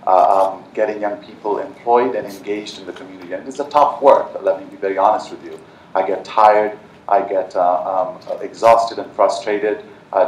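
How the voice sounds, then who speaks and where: A man from Nepal talks earnestly on a panel with a somewhat low voice, pausing often with fillers and restarting phrases. He is picked up from a distance in a large, echoing conference hall with a faint hiss behind him. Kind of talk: panel talk. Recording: echoey hall from far off.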